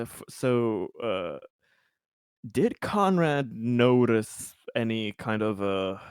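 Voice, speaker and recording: A man playing in a tabletop game, talking in a halting, stammering way. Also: on the low side, clear, resonant tone, no echo, studio quality. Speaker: player.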